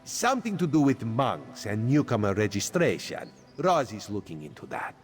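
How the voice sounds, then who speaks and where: Playful, secretive cat-man spy for a game, lively sing-song delivery, speaks of himself in third person, faint music behind. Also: fairly low pitch, normal speed. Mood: sly. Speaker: spymaster quest giver. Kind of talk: video game character dialogue.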